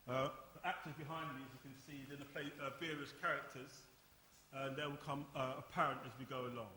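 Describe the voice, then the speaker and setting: Male presenter, halting informal intro, forceful bright tone, reverberant room with some hiss. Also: mid-range voice, fairly even intonation. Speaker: presenter of a radio play project. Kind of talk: informal live introduction to an audience. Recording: echoey hall, hiss and noise.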